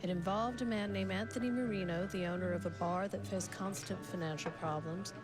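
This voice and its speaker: A woman's voice: medium pitch, fairly even intonation, fairly quick. A woman reading scripted, documentary-style narration for a radio play. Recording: music behind the narration.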